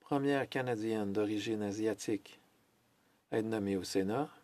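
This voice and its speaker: Male interpreter, low register, dry mic; measured formal delivery with hesitations and self-corrections. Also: darkish tone, dry recording. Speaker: French interpreter of a Senate speech. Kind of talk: simultaneous interpretation of a formal speech.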